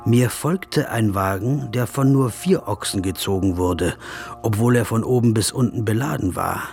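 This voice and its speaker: Narrator role, radio play: reflective traveller, fairly low voice, measured descriptive storytelling, music underneath. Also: male voice, creaky, a little mellow. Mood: reflective, admiring. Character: observant, reflective male traveller recounting his journey. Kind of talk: literary radio drama narration.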